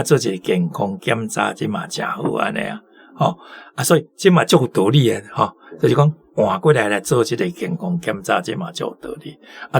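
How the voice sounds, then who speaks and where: Very husky, gravelly Taiwanese-accented man. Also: fairly low pitch. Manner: conversational, explanatory. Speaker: radio commentator. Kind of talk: informal story commentary.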